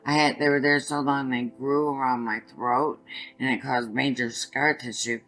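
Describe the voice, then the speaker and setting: An older woman with a raspy voice, telling her own story as an interview guest, halting and restarting as she goes. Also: extremely low pitch, steady tone, a bit bright. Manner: halting, restarts often while recounting.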